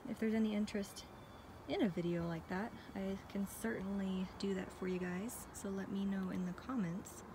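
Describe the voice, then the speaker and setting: A woman's voice: medium pitch, steady tone, moderate pace, background noise. An American woman giving a casual, chatty and enthusiastic walk-through narration as a vlogger, recorded outdoors on a handheld phone. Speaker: vlogger giving a garden tour. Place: outdoors in a backyard garden. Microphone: handheld phone behind the camera.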